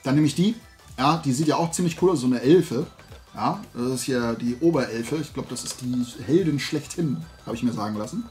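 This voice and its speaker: A man's voice: medium pitch, a little fast, a little mellow, faint music behind. A man in his forties talking casually and enthusiastically as he presents a toy haul to viewers, rambling along conversationally. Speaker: host of a toy collecting video show. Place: small room full of toy shelves, indoors.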